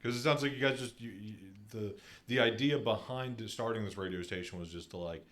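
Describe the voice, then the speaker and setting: A man's voice: on the low side, brisk, fairly bright tone. A man with an American accent hosting a casual interview in an affirming, conversational way. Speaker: podcast host, real estate agent.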